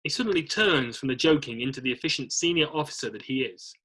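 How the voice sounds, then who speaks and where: A man's voice: mid-range voice, animated, quick pace, sharp tone. A man narrating, reading screenplay stage directions aloud in an amateur read-through.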